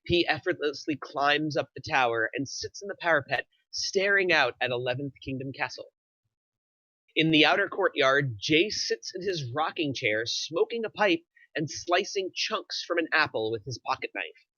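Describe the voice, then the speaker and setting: Male narrator for a screenplay table read, medium pitch, bright edge, slightly dull recording. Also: expressive, moderate pace, no echo. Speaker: narrator reading stage directions.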